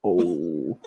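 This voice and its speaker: Low-voiced Scottish man, chatty co-host. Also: warm tone, breathy edge, dry recording, dull audio. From Scotland. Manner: rambling, heavy use of "like". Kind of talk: casual football podcast chat.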